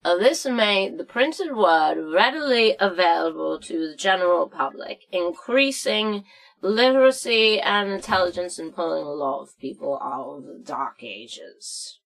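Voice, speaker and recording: A woman playing a crotchety, boozy old woman who tells comic history stories in a theatrical, rambling, halting way. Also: fairly low pitch, expressive, creaky, a bit bright, heavily acted, rough recording. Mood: wry lecturing. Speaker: story-time host. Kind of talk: comic storybook narration and history lesson.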